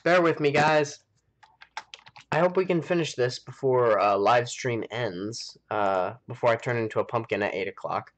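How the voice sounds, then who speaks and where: A man's voice: mid-range voice, normal speed. An American man hosting a game live stream, chatting casually and rambling as he thinks aloud. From United States. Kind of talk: casual chat while playing a game.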